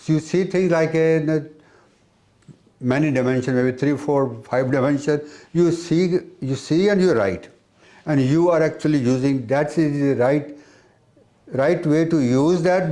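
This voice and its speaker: Male spiritual teacher in interview, mellow and slightly high voice, halting explanations that circle back. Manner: halting, repetitive phrasing.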